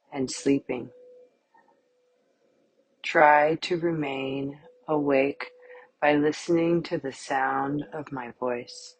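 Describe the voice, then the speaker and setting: A woman guiding a yoga nidra meditation with calm, soothing, measured scripted instructions. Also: deep voice, a little hiss or hum, low-quality recording.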